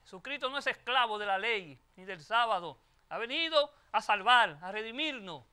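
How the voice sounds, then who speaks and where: An older man, a priest, reading the Gospel and giving a homily at Mass into a lectern microphone, preaching in a measured, emphatic way with repeated phrases. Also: sits high, expressive, sharp tone, clear, resonant tone. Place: chapel sanctuary at a lectern.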